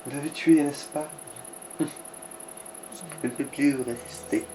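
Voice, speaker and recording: A man's voice: fairly high pitch, steady tone, unhurried, dark tone, slight background noise, poor audio. A man giving informal, sweary gaming commentary in speech, coaching the player along. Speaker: let's play co-commentator.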